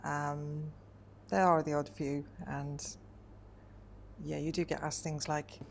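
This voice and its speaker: A woman in her forties from Wales with a low voice and a gravelly, creaky edge, reflecting on her life in an interview. She talks thoughtfully and hesitantly, filling pauses with ums, over a faint background ambience. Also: level tone, a little hiss or hum. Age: 40s.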